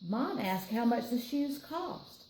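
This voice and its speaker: Unhurried Southern woman in an echoey room. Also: fairly high pitch, slight background noise. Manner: playful, expressive, chatty. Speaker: children's storytime reader. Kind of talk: picture book read-aloud with friendly asides to children.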